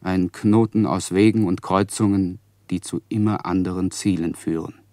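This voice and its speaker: A man's voice: low-pitched, steady tone, normal speed, dark tone, no room sound, clean studio sound, natural voice. An actor playing a brooding male narrator of inner anxiety in a literary radio play.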